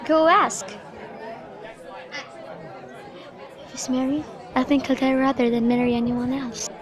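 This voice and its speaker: A woman's voice: high-pitched, fairly even intonation, fairly quick, close to an everyday voice. A young woman playing a lovestruck, anxious young noblewoman in an amateur Shakespeare radio drama. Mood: curious. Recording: crowd noise behind voice.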